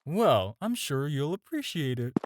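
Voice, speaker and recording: Flirty villain for audio roleplay, played by a man: lightish male pitch, very lively intonation, teasing and self-assured. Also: a little slow, noisy background. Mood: casual, reassuring. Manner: teasing, casual, conversational with hesitations. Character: flirty, smooth, self-assured male supervillain courting his tailor. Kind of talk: scripted audio roleplay addressed to the listener.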